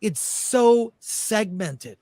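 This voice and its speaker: A man's voice: high voice, animated, drawn-out words, mellow, no room sound. A man hosting a casual panel discussion, reading out and commenting on statistics. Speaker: host of panel show.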